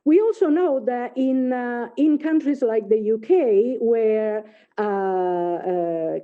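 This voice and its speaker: A middle-aged woman from Italy with an Italian accent, a native Italian speaker giving an academic talk over a video call, hesitant with many fillers and restarts. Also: fairly high pitch. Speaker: invited speaker, linguist. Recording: phone-like video call audio.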